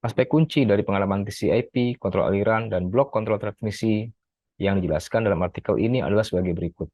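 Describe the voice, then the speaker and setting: A very low-voiced male student reads his prepared review in an even, methodical way, sometimes stopping to correct a word. The sound is close and dry, with no room echo. The recording is slightly muffled, with its top end dulled. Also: fast. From Indonesia. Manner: steady reading with occasional self-corrections. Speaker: graduate student presenting an article review. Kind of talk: reading a prepared academic summary.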